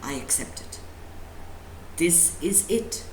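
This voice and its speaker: A woman's voice: lowish voice, lively intonation, takes it slowly, a little mellow. A woman speaking as a spiritual teacher, giving an emphatic motivational talk full of rhetorical questions and repetition. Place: indoor room at a live talk. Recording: echoey room with faint background noise.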